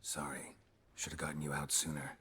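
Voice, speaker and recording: An actor playing a stoic, world-weary monster hunter in a video game, terse and deadpan. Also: male voice, rumbling low, fairly quick, husky, heavily acted. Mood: terse, subdued.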